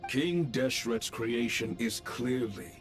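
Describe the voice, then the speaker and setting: An actor playing a solemn, commanding leader of a mythic council in a video game. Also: male voice, medium pitch, lively intonation, soft background music. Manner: solemn, deliberate. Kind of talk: dramatic video game voice acting.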